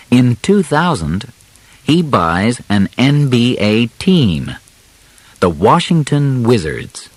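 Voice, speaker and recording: Male audiobook narrator, lowish voice with lively intonation, measured short phrases, simple scripted read. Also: no room sound.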